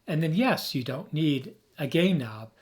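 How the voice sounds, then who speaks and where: Man, slightly high voice, hoarse, emphatic, rambling with restarts, tech host. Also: lively intonation, a little slow. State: hoarse, has lost his voice. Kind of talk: off-the-cuff explainer.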